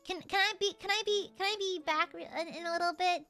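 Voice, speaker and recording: A woman streamer chatting casually with her viewers, loud and crude, teasing them and swearing a lot, then suddenly dropping into mock-serious mutters. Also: very high voice, quick pace, faint music behind. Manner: animated, jumps between shouting and mock-serious mutters. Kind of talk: casual live-stream chat.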